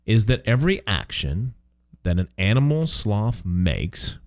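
A man's voice: lowish voice, big pitch swings, a little slow, a little mellow. A man co-hosting a radio show in a conversational, part-scripted style, with a jokey, emphatic manner.

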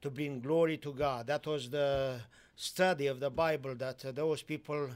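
A Romanian-born man speaking in halting, broken English with many fillers, giving a sermon testimony in a church. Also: medium pitch, fairly even intonation, clean tone. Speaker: guest preacher.

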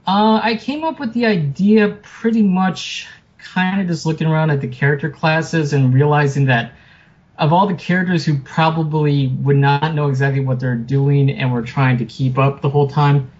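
A man's voice: a little high, steady tone, normal speed, a little mellow, lo-fi sound. A man chatting casually as an interview guest, rambling and self-deprecating. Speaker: podcast guest, author and film producer.

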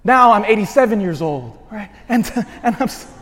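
A man in his forties, a parish priest giving a sermon, swinging from shouted emphasis to quiet asides. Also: sits high, expressive, normal speed, slight background noise. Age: 40s. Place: church. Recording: long church reverb.

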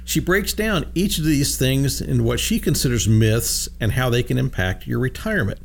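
A man's voice: medium pitch, normal speed, creaky, slight background noise. A man hosting a financial radio show from a studio, chatting casually with many fillers and restarts. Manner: easygoing, frequent fillers and restarts.

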